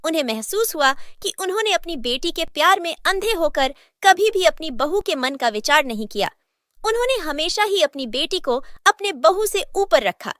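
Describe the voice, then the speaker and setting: A woman narrating a scripted moral story in a steady storytelling manner. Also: very high voice, moderate pace, no echo, clean studio sound.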